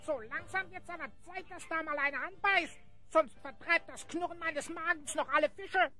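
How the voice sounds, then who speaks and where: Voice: extremely high pitch, fairly even intonation, moderate pace, bright, forceful tone, faint music behind. An actor playing a small, cheeky, always hungry elf goblin who grumbles in a children's radio play. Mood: grumbling.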